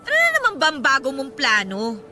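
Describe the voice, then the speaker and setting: A woman's voice: high voice, wildly swooping pitch, fairly quick, bright, forceful tone, soft background music, full character voice. An actress playing an indignant, sarcastic mother who feels bitterly wronged, in an emotional radio drama style. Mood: confrontational, suspicious. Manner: biting, sarcastic retorts.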